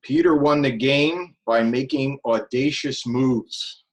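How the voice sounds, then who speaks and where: A man acting as grammarian at a club meeting on a video call, speaking in a formal, deliberate way. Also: mid-range voice, rough recording. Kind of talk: explaining a meeting role.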